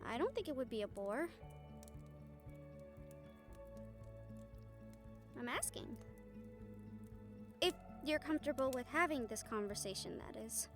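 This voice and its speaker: A woman's voice: sits high, expressive, normal speed, music in the background. A woman playing a wry, caring young woman friend in a fantasy audio roleplay, speaking casually and hesitantly, as if to one listener. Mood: gentle, tentative. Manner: casual, hesitant, chuckling, mildly sweary. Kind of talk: audio roleplay acting, intimate one-sided conversation with listener.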